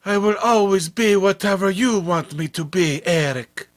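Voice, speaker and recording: A man's voice: high voice, a little slow, voice pushed up. A man voicing an enchanted statue woman, tender and devoted, in a soothing, compliant manner for a horror comic.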